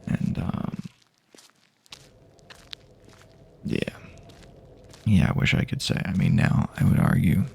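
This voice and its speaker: A man playing a wary but hopeful, laid-back apocalypse survivor in a hushed, halting, rambling, partly whispered ASMR roleplay. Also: sits low, very animated, gravelly, a little hiss or hum, heavily acted. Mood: casual, wry. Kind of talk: improvised ASMR roleplay, soft-spoken.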